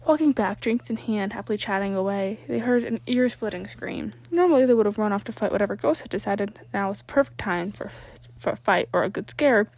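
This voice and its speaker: A woman narrating fan fiction as an amateur reader, expressive and informal, sometimes stumbling and rereading words. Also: mid-range voice, fairly quick, no room sound.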